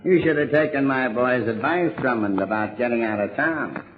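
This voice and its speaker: A man's voice: mid-range voice, normal speed, narrow, tinny audio, background noise, natural voice. An actor playing a smug, menacing gang boss who taunts like a tough guy in a 1940s radio drama. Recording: band-limited old-time radio recording.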